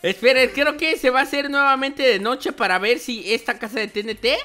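A man's voice: very high-pitched, fairly even intonation, brisk, bright, forceful tone. A man hosting a gameplay roleplay video, commentating playfully and exclaiming with words repeated in bursts. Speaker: host of a gaming video channel. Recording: music behind the voice. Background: music.

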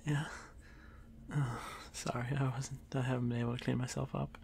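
A man playing a shy, nervous young male drider in an ASMR fantasy roleplay, hesitant, stammering and soft-spoken, apologising and often slipping toward a whisper. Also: mid-range voice, level tone, moderate pace, a little hiss or hum, close to an everyday voice. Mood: nervous, apologetic. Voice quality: gravelly, creaky.

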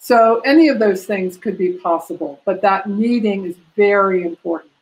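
A woman's voice: mid-range voice, moderate pace, a little mellow, rough recording. An older woman from the United States teaching a live online massage class from a room at home, answering questions in a practical, chatty way with many fillers. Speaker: prenatal massage instructor. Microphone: laptop webcam on a video call.

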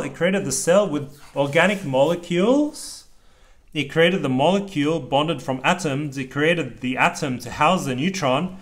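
Male, slightly high voice, parent reading a picture book aloud, deliberate rhyming delivery with casual asides. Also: a bit bright. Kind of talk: read-aloud storybook narration with casual asides.

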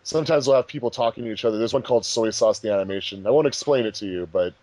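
Guy on a commentary track, quick talker, soft dark tone, keen to explain stuff. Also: fairly low pitch, dry recording, poor audio. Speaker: fandub producer and voice actor. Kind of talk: casual commentary track talk.

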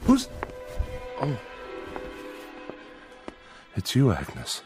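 An actor playing a nervous American farmer father in a radio drama, folksy and halting, forcing cheerful agreement to placate his son. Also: medium pitch, wildly swooping pitch, music in the background, exaggerated voice. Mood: weary, evasive.

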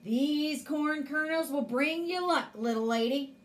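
A woman's voice: sits high, measured pace. A woman voicing a male cowboy trader, a coaxing folksy stranger in a storybook.

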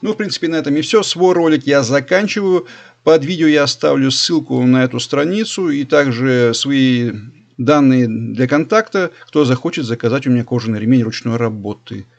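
A man's voice: medium pitch, normal speed, vocal fry. A man in his forties talking casually and enthusiastically as he scrolls through a shop site, repeating his recommendations often. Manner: chatty, repetitive, enthusiastic salesman-like recommending. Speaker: leather belt maker and channel host. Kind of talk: screen-recorded shopping review voice-over.

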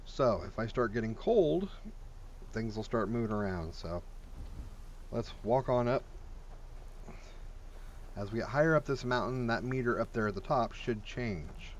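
A man's voice: medium pitch, animated, measured pace, long pauses, muffled recording, a little hiss or hum. A man giving casual, blunt and sweary commentary while he plays and reviews a video game. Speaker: gamer reviewing a game mod.

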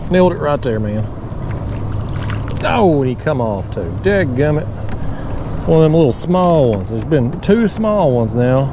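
A man in his thirties from Tennessee with a Southern drawl, casually narrating a fishing trip from his kayak and getting loud and excited over each catch. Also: a little slow, long pauses. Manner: folksy, excitable drawl, gets loud and high when a fish bites. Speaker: fishing channel host. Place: kayak on a lake, outdoors. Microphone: action camera mounted on the kayak. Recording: outdoor action-cam audio with noise.

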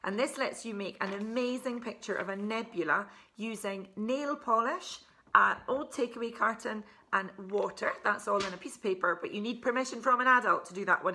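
A woman's voice: mid-range voice, sharp tone. A woman in her forties from Scotland, chatting as an author presenting a children's book and explaining things simply with asides. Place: furnished living room, indoors.

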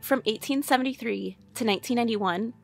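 A woman reading scripted voice-over narration for a sailing vlog in an even, measured way. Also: on the high side, gravelly, soft background music.

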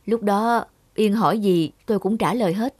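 A woman's voice: mid-range voice, normal speed, a little mellow, slightly rough, no echo, studio quality, natural voice. A woman playing a carefree, daring young male stuntman in a cartoon, joking and nonchalant. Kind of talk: animated cartoon dialogue.

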